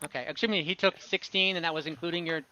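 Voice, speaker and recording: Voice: mid-range voice, fast, pushed and bright. A game master running an online tabletop fantasy game, thinking aloud while tallying dice and narrating combat. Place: online voice call. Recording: dull lo-fi mic with faint noise and clicks.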